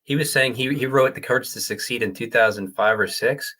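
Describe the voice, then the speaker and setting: A man's voice: mid-range voice, a little fast, pushed and bright. A male co-host recalling a book he read, speaking with enthusiasm in casual chat.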